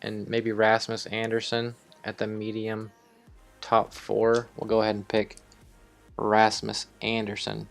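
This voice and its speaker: Low-voiced man rambling through game commentary. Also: monotone, a little slow, creaky, soft background music. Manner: rambling, thinking aloud, offhand swearing. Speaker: host of a video game series. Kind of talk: casual improvised gameplay commentary.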